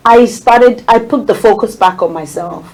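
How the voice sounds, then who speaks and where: Nigerian-accented woman, forties, lively storyteller, acts out quotes. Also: fairly high pitch, moderate pace, low-quality recording. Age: 40s. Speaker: guest. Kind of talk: casual interview chat.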